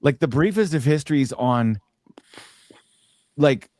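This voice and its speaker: A man's voice: mid-range voice, animated, slow pace, darkish tone, no room sound, clean studio sound. A man hosting a live podcast stream, chatting casually and joking, often restarting his sentences. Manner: joking, loose, restarts a lot. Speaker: podcast host.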